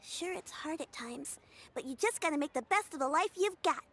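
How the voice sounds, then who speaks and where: A woman's voice: high voice, animated, moderate pace, a bit bright, a little hiss or hum. A woman voicing a kind, plucky young child in a video game, with a childlike, earnest and polite delivery. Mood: brave.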